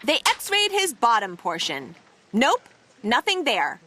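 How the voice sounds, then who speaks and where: A woman reads a children's story in a high voice that swoops up and down dramatically. She leans playfully into key words for emphasis. There is clear noise in the background of the recording. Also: huge pitch swings, slow pace. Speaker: read-aloud storyteller. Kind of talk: animated children's picture book reading.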